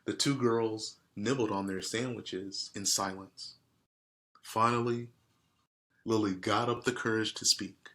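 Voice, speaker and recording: A man reading a storybook aloud and speaking directly to his listeners in a deliberate way. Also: on the low side, steady tone, fairly bright tone. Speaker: read-aloud host. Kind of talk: storybook read-aloud with casual asides to listeners.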